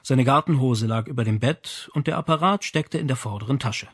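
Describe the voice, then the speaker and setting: A man's voice: medium pitch, brisk, a bit bright, husky, no echo. A man reading a novel aloud as scripted audiobook narration.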